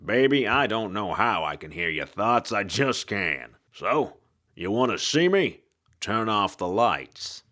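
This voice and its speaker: A man's voice: on the low side, big pitch swings, slow, bright, forceful tone, exaggerated voice. A man voicing a tough, mocking ghost of a private eye in an audiobook, with a slangy, sardonic drawl in a 1940s detective manner. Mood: amused, commanding. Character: tough hard-boiled male detective ghost, mocking, self-assured.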